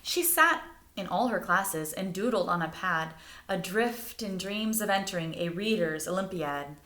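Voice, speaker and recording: A woman reading a picture book aloud like a teacher, expressively, pausing to explain things and put questions to young listeners. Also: on the low side, lively intonation, pushed and bright. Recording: roomy, echoing indoor sound.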